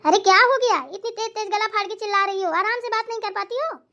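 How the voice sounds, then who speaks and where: A woman's voice: extremely high pitch, fast, pushed and bright, heavily acted. A woman playing a bossy, scolding mother-in-law who lectures and chides in a family comedy skit. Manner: chiding, lecturing.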